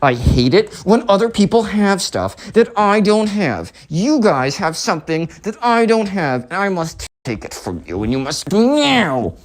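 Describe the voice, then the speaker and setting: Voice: mid-range voice, very animated, unhurried, hardly any pauses, pitched above the performer's own voice. A storyteller voicing a whiny, entitled, lovesick spoiled teenage boy with melodramatic, insistent pleading in a comic story. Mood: pleading, demanding. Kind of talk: acted dialogue in a comic story.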